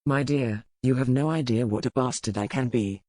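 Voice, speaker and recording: Male actor as a cold, sardonic earl for romance audiobook; deliberate, menacing, dry close sound. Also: medium pitch, a little mellow, dry recording, natural voice. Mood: cold threat. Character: cold, sardonic, menacing young English earl, dangerous rake. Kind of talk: audiobook character acting.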